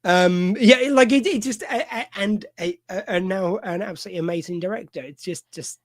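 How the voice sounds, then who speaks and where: A man hosting a casual film discussion, talking in a rambling, halting way, full of fillers and restarts. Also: high-pitched, no echo, clean studio sound. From United Kingdom. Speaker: podcast host. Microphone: video call mic.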